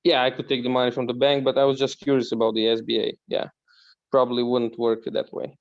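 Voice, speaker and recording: A man's voice: mid-range voice, completely flat delivery, fast. A man with a Croatian accent asking casual questions on an online call, with slightly muffled sound. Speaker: audience member asking questions.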